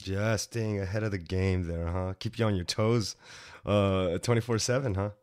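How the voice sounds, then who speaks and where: Upbeat low-voiced male podcast host. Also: fairly even intonation, moderate pace, a little mellow, no room sound. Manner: upbeat, chatty, frequent fillers. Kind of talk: casual interview chat.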